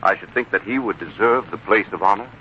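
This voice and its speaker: A man's voice: lowish voice, husky. A man playing a self-assured young heir, politely cutting and formal, in a muffled, noisy 1940s radio drama. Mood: earnest, insistent. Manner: measured, pointed, formal.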